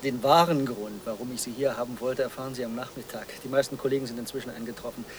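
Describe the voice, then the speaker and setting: Man doing officious wordy organizer type in a radio play, mid-pitched voice, self-important, noise behind him. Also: fairly even intonation, fast, lightly acted. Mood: confiding. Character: officious, evasive male conference organizer. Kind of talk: German radio drama dialogue. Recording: clear background noise.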